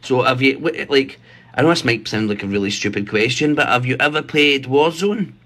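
A man in his thirties speaks at a middling pitch, rambling and hesitating as he puts on an official air for a prank call. He is talking into a phone in a small bedroom. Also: fairly quick, a bit bright. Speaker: prank caller. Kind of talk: prank phone call posing as army recruiter. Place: small bright bedroom indoors.

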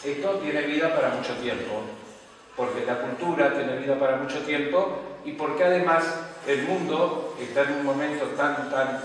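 A man's voice: medium pitch, a bit bright. Earnest man in an echoey hall. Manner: earnest, repeating words as he thinks. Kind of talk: interview remarks. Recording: echoey hall from far off with noise.

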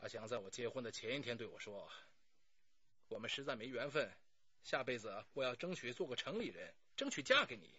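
A man's voice: medium pitch, big pitch swings, a little fast, sharp tone, breathy edge, no echo, lo-fi sound, exaggerated voice. An actor playing a talkative, self-justifying middle-aged man under police questioning in a radio drama, pleading and sighing as he explains. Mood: frank, defensive.

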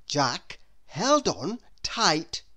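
A woman reading a children's story aloud in a deliberate, expressive way, as if to a young listener. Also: deep voice. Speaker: storybook reader. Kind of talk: scripted children's story narration.

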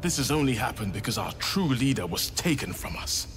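A man's voice: medium pitch, sing-song, a little fast, close to an everyday voice. An actor playing an anguished, devout druid man in a fantasy game, earnest, pleading and solemn. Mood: worried, indignant. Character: anguished, devout male druid, loyal to absent master. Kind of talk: dramatic fantasy game acting. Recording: long reverb with music behind.

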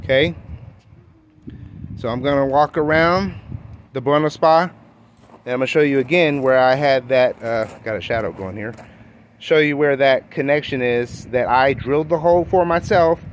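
A man's voice: medium pitch, moderate pace, slight background noise. A man walking around his backyard hot tub with a phone camera, explaining features in a rambling, self-correcting way full of fillers. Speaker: hot tub owner reviewing his own tub. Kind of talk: casual handheld product walkthrough. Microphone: phone camera held by the speaker.

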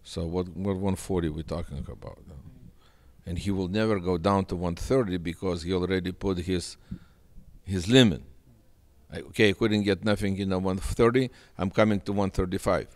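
Man, middle-aged, English, very low voice, emphatic, repeats himself, handheld mic. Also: steady tone, moderate pace, darkish tone. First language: Russian. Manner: earnest, emphatic, repeats phrases. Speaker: boxing manager. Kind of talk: sit-down interview. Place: indoor studio set with sofa.

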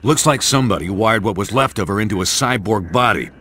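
An actor playing a wry, blunt military intelligence chief in a video game briefing. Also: male voice, lowish voice, animated, fast talker, pushed and bright, a little hiss or hum. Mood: wry, commanding.

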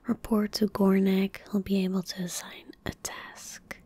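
A young woman giving soft-spoken ASMR gaming commentary, hushed, gentle and whispery, close to the microphone. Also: medium pitch, little pitch movement, unhurried. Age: young adult. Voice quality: breathy, whispery. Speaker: ASMR streamer playing a game. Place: indoor gaming room at home. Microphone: close desk microphone.

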